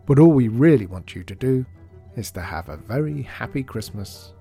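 A man's voice: medium pitch, expressive, moderate pace, warm tone, husky. A man reading scripted closing credits as a podcast announcer. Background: music.

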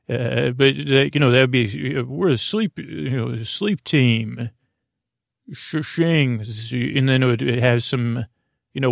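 A man with a creaky voice hosting a sleep podcast, talking in a soothing, meandering monologue that halts and drifts into tangents. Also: mid-range voice, dry recording, studio quality. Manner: meandering, halting, trailing into tangents; soothing, gently lulling. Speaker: podcast host.

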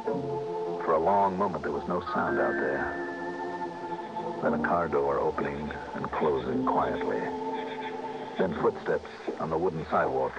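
An actor playing a tough, terse investigator, middle-aged, acting and narrating in a hard-boiled radio drama. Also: male voice, extremely low pitch, measured pace, long pauses, over loud music. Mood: tense narration. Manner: clipped, hard-boiled, commanding. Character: tough, terse, wary male private insurance investigator. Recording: dull old radio broadcast recording.

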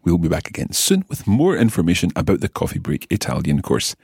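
A man hosting a podcast lesson as the teacher, speaking in a clear, enthusiastic teacherly way. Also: low voice, huge pitch swings, a little fast, darkish tone, no room sound, clean studio sound. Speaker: language teacher and podcast host.

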